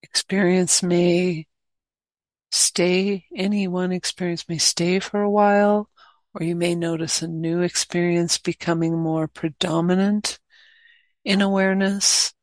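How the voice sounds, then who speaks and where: Female meditation guide, lower-pitched, very unhurried and gentle, short phrases with long gaps. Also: flat delivery, no echo. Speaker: meditation teacher.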